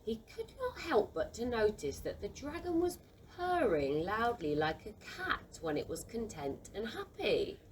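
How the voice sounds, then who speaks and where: Woman reading a kids story as narrator, really slow and expressive, faint noise in the recording. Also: fairly high pitch, rough recording. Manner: expressive, deliberate.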